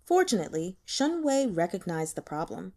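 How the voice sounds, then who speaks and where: Woman audiobook narrator, very lively intonation, expressive fiction reading. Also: fairly low pitch, normal speed. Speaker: audiobook reader.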